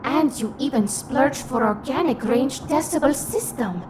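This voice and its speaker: A woman voicing a young female quarian immigrant in an audio drama, guarded and sharp-tongued, with music underneath. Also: sits high, animated, unhurried, background noise. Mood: curious. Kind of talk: fan audio drama acting. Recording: music behind the voice.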